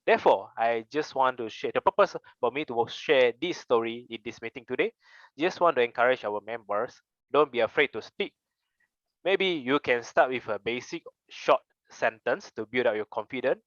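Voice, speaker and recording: A man's voice: medium pitch, animated, moderate pace, fairly bright tone, no room sound, highs cut off. Earnest Malaysian man telling a story. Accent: Malaysian English. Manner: earnest storytelling with rhetorical questions. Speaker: club member giving a speech. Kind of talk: prepared personal speech.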